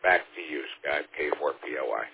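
Male radio caller, very deep voice, short and modest, tinny radio band. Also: animated, brisk, creaky, background noise.

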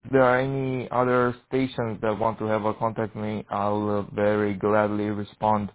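A teenage Romanian man, Romanian being his first language, talks over amateur radio and keeps stopping to hunt for words. His low, flat voice comes through narrow and tinny, like a radio link. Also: normal speed. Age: teens. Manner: hesitant, searching for words, many fillers. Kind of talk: casual radio chat.

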